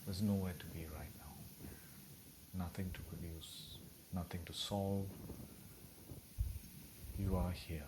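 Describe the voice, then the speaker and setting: A man leading a guided meditation in a gentle, soothing, unhurried way with long pauses between phrases. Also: low voice, steady tone, noisy background.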